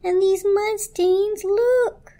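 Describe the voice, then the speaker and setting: A storybook electric blanket in despair. The voice is very high and shrill, drawn out into overwrought, self-pitying wails. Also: female voice, fairly even intonation, very slow, warm tone, heavily acted. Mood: hysterical despair. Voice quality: shrill, shrieking. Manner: wailing, overwrought.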